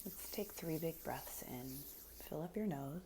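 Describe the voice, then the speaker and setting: A woman leading a guided meditation in a soft, breathy, unhurried voice that often nears a whisper, giving gentle, soothing instructions. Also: low voice, expressive, background noise.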